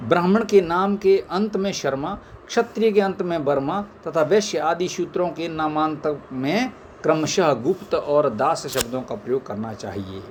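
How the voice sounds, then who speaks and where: A man's voice: a little high, normal speed, a little hiss or hum. A man reading scripture aloud in a formal way, phrase by phrase, in an amateur recording. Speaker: reader of scripture.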